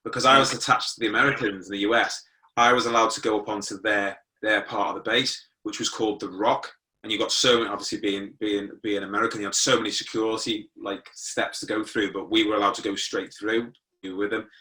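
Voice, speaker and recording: A man's voice: fairly low pitch, steady tone, fairly quick, bright, forceful tone, distant mic, poor audio. A British man in his forties telling his own life story informally as an interview guest. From born in Germany, moved to the UK at nine. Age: 40s. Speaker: interview guest, motivational speaker.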